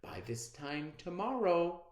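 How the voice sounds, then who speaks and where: A man's voice: on the high side, big pitch swings, slow pace, darkish tone, echoey room, pitched above the performer's own voice. A man voicing a snobbish, smug girl inventor in a storybook, with a haughty, mock-sweet manner.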